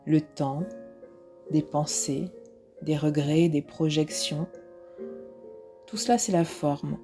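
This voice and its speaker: A woman instructor leading a guided relaxation meditation in a soft, soothing, unhurried way with pauses, with music behind her. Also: low voice. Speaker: yoga nidra instructor.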